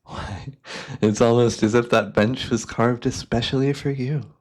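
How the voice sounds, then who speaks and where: A man playing a devious, cultured hypnotist and collector in a fantasy ASMR roleplay, speaking in florid, theatrical phrases with a softly coaxing manner. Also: medium pitch, fairly even intonation, a little slow, close to an everyday voice. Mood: gently coaxing.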